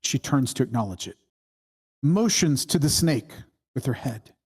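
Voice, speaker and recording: A narrator reading screenplay stage directions at a table read in measured, deliberate short phrases. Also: mid-range voice, lively intonation, a little mellow, slightly rough. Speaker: screenplay reader.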